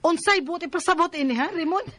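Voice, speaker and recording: High-voiced, anguished betrayed woman, radio drama. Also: fast, sharp tone, no echo. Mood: hurt disbelief. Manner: plaintive, emotional. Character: anguished betrayed live-in partner, jealous-hearted young mother. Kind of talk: Filipino radio drama acting.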